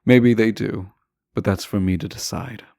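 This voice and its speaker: A man playing a teasing, self-assured deposed prince in a romantic audio roleplay, speaking softly and deliberately with courtly, formal phrasing. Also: on the low side, gravelly, no echo, studio quality. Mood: airy, self-assured. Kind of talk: romantic audio roleplay acting, addressing the listener.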